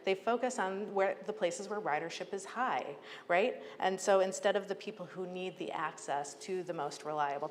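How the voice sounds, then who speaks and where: An American woman giving an earnest, persuasive prepared speech as a public agency leader, with emphatic delivery. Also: medium pitch. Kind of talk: prepared advocacy speech to a conference. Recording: echoey hall, clear background noise.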